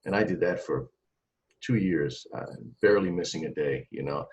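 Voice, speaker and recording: A man in his forties talking about his music career in a casual interview, animated and full of restarts and repeated words. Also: low-pitched, normal speed, a little hiss or hum. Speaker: trumpeter and singer, interview guest. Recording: dull lo-fi sound.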